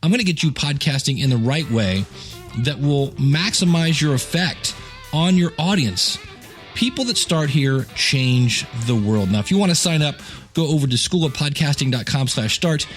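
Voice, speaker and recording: A man's voice: fairly high pitch, expressive, normal speed, soft background music. A man hosting a podcast as a coach, talking informally and directly to his listeners in a conversational monologue.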